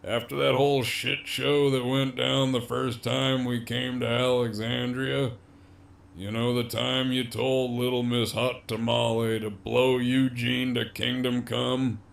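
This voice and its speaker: A man acts out an imprisoned gang leader in an audio drama, cocky and wryly amused. His voice is gravelly with heavy creak. He takes his time over each line, drawling with swagger and quiet menace. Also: medium pitch, level tone, measured pace. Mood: cocky, teasing. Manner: swaggering, foul-mouthed, drawling.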